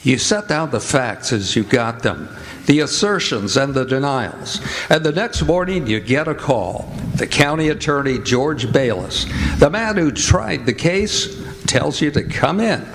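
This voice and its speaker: A man's voice: medium pitch, very animated, unhurried, a little hiss or hum. A man playing a brooding newspaperman, narrating his investigation in a scripted radio drama. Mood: reflective, suspenseful. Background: loud brass music score.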